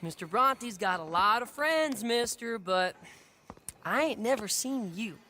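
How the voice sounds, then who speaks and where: A man's voice: high voice, expressive, a little slow, bright, forceful tone, a little hiss or hum, heavily acted. A male performer playing a cocky, taunting street urchin boy in a video game, drawling with mock politeness. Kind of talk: cinematic video game acting.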